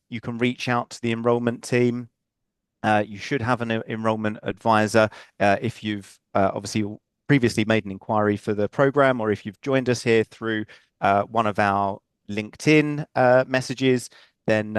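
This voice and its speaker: A man's voice: on the low side, smooth, dry recording. A man hosting a webinar Q and A over a video call, speaking in a friendly, loose way with many fillers. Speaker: webinar host.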